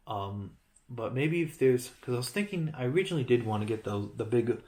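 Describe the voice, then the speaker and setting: A man's voice: mid-range voice, animated, a little fast, a little mellow. A man casually reviewing a toy building set he holds up to a camera, rambling, correcting himself and joking as he goes. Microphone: handheld camera close-up of toy.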